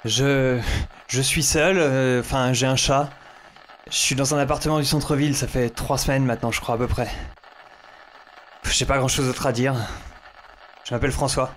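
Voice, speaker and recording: Guy acting a lonely survivor holed up in a flat, nervy, halting, dry wit, medium-pitched voice. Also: a little hiss or hum, lightly acted. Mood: wary, wry. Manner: halting, nervous, wry. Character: hesitant, wry, lonely male apartment survivor with cat. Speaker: voice actor. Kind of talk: audio drama, first-person narration and dialogue.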